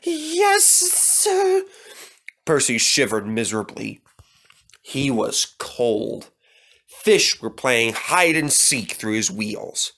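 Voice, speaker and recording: A man's voice: lowish voice, takes it slowly, creaky, sharp tone. A man narrating a children's storybook as a scripted read-aloud.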